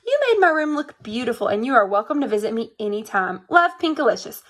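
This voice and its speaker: A woman's voice: a little high, sing-song, fast, fairly bright tone. A woman voicing an eager, dramatic little storybook girl with an exclaiming, emphatic delivery. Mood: grateful, sweet.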